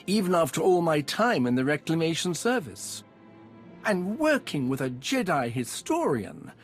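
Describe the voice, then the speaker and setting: A man playing an enthusiastic, courteous archaeologist in a video game, speaking in a formal, effusive, wordy way. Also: a little high. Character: enthusiastic, courteous male archaeologist expedition leader, scholarly and eager. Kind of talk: video game dialogue acting. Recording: music behind the voice.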